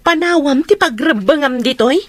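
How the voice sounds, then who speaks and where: High-voiced, nagging sickly stepmother. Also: lively intonation, normal speed, no echo. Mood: indignant. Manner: scolding, complaining. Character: demanding, self-pitying sickly stepmother, bossy and nagging. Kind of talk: Ilocano radio drama acting.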